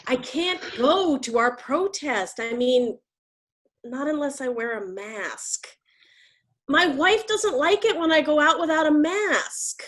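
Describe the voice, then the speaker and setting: A woman's voice: high-pitched, lively intonation, slow, a bit bright, heavily acted. A woman acting an anxious, apologetic activist in a stage comedy over a video call, earnest and hesitant. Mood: sheepish, worried. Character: anxious, apologetic female activist with a strict wife.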